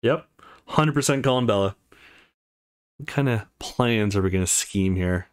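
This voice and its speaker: A man's voice: fairly low pitch, unhurried, smooth. A man hosting a let's play, reading a visual novel aloud and commenting casually.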